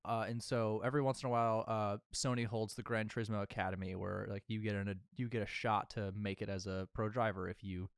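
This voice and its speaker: Low smooth guy on a casual podcast, close dry sound, bit of a nerd about it. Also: fairly even intonation, quick pace, few pauses, dry recording. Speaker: podcast co-host. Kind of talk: casual film podcast chat.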